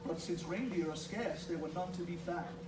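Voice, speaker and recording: A man's voice: fairly high pitch, moderate pace. A man reading a storybook aloud expressively, like a father at home. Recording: noisy home recording, distant mic, echoey room.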